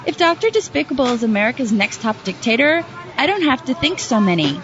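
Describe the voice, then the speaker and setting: Ditzy, high-voiced woman voter, acted by a woman. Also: very animated, brisk, muffled recording. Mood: naive confusion. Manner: puzzled, wandering, naive. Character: ditzy, earnest, muddle-headed female voter. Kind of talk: comedy sketch street interview.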